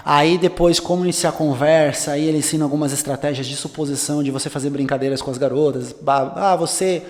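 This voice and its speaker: A young man talks through his advice in a loose, coaching way, full of fillers and restarts. His voice sits a little high, and a long reverb tail hangs behind it in the studio. The feel is relaxed podcast conversation. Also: quick pace.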